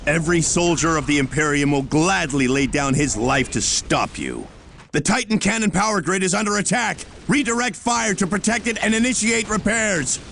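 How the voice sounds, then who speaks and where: An actor playing a defiant, fanatical military governor in a war game, barking orders in a gravelly voice. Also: male voice, high voice, expressive, moderate pace, a bit bright, exaggerated voice. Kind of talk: video game voice acting. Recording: battlefield noise behind voice.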